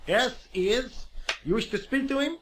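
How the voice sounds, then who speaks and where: A French police desk sergeant in a video game. He speaks in a high, exaggerated voice with a French accent. His manner is fussy and officious. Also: moderate pace, fairly bright tone, a little hiss or hum.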